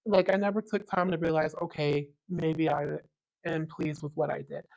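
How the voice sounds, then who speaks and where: Young man, very high tenor voice, eager podcast host, chatty and enthusiastic. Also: level tone, gravelly, a little mellow, poor audio. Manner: eager, enthusiastic, quick to agree. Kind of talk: casual podcast chat.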